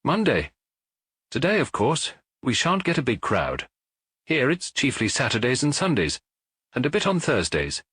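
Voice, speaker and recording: A knowing, familiar male dance-hall owner, as a male narrator puts him on for an audiobook. He talks confidingly from behind the bar, pitched up, with a lively melody and a slightly husky grain. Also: normal speed, clean studio sound, exaggerated voice. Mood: chatty, inquisitive. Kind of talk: audiobook character dialogue.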